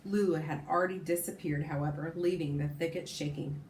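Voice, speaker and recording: A woman teacher reads a novel aloud to her class in a low-pitched voice, expressive and now and then stopping to comment or fix a slip. The recording sounds roomy and echoey, with a faint hiss underneath. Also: brisk. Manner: expressive, stops to comment and correct herself.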